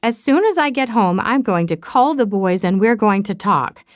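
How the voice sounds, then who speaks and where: A woman voicing a loud, tearful, gushing older aunt. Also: medium pitch, animated, moderate pace, no echo, natural voice.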